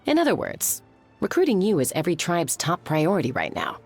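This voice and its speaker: Brief: a husky, earnest game character laying out the rules. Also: female voice, on the low side, huge pitch swings, fairly quick, soft background music, exaggerated voice. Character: earnest woman explaining the trials. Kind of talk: video game voice acting.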